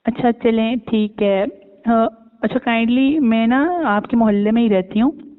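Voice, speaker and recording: A man's voice: very high-pitched, unhurried, clean tone. A man playing a coy, pushy, easily offended young woman with a Pakistani accent in an improvised phone prank. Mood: coy, hesitant. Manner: hesitant, needling, repeatedly questioning. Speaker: prank caller. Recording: echoey hall sound, dull phone-call audio.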